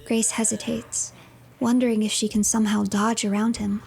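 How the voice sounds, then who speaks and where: A woman narrating a horror game as a dramatic third-person story, with breathy dips toward a whisper. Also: mid-range voice, little pitch movement, moderate pace, noisy background.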